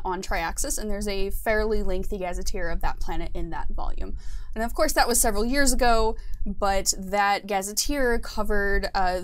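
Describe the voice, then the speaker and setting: A woman talking casually on a livestream, explaining a fantasy game setting in enthusiastic detail as a guest designer. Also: medium pitch.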